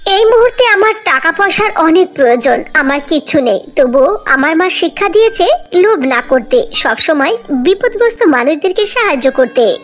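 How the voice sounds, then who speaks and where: A woman's voice: very high-pitched, very animated, normal speed, soft background music. A woman voicing a kind, sorrowful young orphan woman in a cartoon fairy tale, earnest and plaintive. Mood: humble, resolute.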